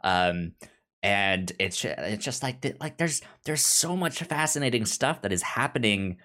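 A man co-hosting a podcast, discussing comics casually, haltingly, often repeating and stuttering over words as he goes. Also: fairly low pitch, animated, sharp tone. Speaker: podcast co-host.

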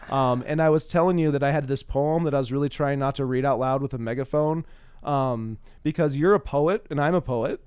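A man's voice: medium pitch, a little mellow, clear, resonant tone. A man hosting a casual, chatty interview, often echoing back what the other person says. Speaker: interviewer, podcast host.

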